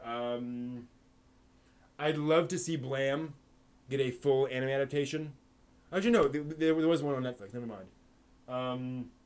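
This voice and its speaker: A man hosting a casual live stream who thinks aloud and rambles, with many pauses, ums and restarts. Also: medium pitch, a little mellow, clean tone. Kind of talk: casual live-stream chat answering chat questions.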